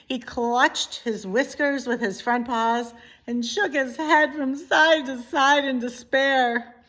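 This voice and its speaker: Slow, expressive woman reading a storybook. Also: sits high. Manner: deliberate, dramatic emphasis. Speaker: story reader, teacher. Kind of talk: expressive children's storybook narration.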